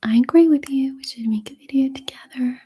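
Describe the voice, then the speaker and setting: A young woman with a Russian accent, whispering softly and hesitantly as she answers viewer questions. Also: sits high, a little fast, husky. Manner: soft unvoiced whispering, hesitant with fillers. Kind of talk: whispered ASMR Q&A.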